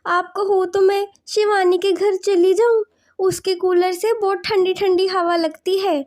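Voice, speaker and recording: A woman performs a young girl from a cartoon moral story. The voice is extremely high yet mellow, with a complaining, pleading manner that wheedles like a child. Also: little pitch movement, unhurried. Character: young daughter, whiny and pleading about the heat. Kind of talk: animated moral story dialogue.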